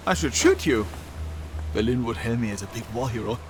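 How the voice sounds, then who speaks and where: An actor playing a smug, taunting wartime German officer in a video game. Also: male voice, mid-range voice, animated, unhurried, noisy background, close to an everyday voice. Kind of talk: dramatic video game acting.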